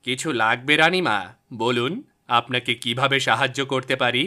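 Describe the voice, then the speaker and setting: A man voicing a stern but caring fairy-tale king, measured and authoritative. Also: medium pitch, pushed and bright. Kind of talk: cartoon fairy-tale voice acting.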